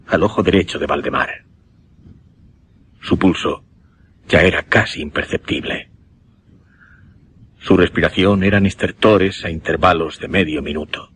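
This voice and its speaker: An actor playing a solemn hypnotist recounting a macabre experiment in a Spanish radio drama, with a Castilian accent and measured, dramatic pauses. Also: male voice, sits low, slight background noise.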